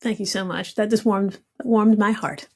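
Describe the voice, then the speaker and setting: A woman's voice: medium pitch, steady tone, moderate pace, a little mellow. A woman guesting on a podcast, chatting casually in an upbeat, appreciative manner.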